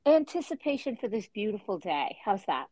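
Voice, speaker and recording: A woman's voice: medium pitch, big pitch swings, normal speed, mellow, no echo, rough recording. A woman hosting a casual podcast chat, bubbly and playful, laughing often. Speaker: podcast hostess.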